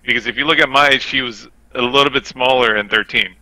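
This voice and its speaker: A man's voice: medium pitch, brisk, sharp tone, dry recording, rough recording. A man casually discussing a fighting game, explaining things in detail as a guest on a talk show.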